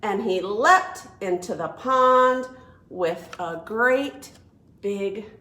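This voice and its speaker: A woman working as a children's librarian, hosting a read-aloud storytime in an animated, encouraging way with simple phrasing for small children. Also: mid-range voice, drawn-out words, echoey room.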